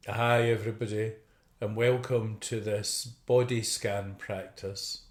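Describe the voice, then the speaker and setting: Guy doing a guided meditation, really slow and gentle, lots of pauses, echoey room. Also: lowish voice, level tone. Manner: gentle, unhurried, with long pauses. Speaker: meditation teacher. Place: indoor room. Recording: roomy, echoey video call recording.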